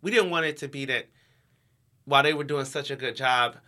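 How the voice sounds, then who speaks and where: Bright-voiced young American man, casual. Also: medium pitch, fairly quick. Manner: informal, heavy use of "like". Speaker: interview guest, education nonprofit co-founder. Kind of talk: casual conversational interview.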